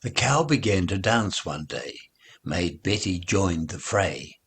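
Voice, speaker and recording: A man reading nursery rhymes aloud as a storybook recitation, in a measured, rhythmic delivery. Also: low voice, lively intonation, fairly bright tone, breathy edge.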